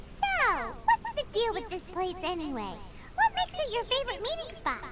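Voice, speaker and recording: A woman voicing a tiny, cheeky, excitable fairy companion in a game, with a squeaky childlike voice and bubbly manner. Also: normal speed, loud music behind the voice, heavily acted. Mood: perky, curious. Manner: bubbly, refers to herself by name. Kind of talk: cartoonish game dialogue.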